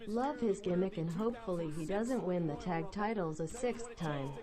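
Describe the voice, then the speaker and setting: A text-to-speech woman's voice flatly reading out a viewer's donation message. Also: fairly low pitch, normal speed. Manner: flat synthetic reading of chat messages.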